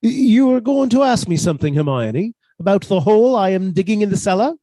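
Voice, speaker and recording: A man playing a hesitant, submissive professor husband with a quiet menace, stammering his way through a radio suspense drama. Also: high-pitched, lively intonation, fairly quick, dry recording, low-quality recording. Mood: quietly menacing. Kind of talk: theatrical radio suspense acting.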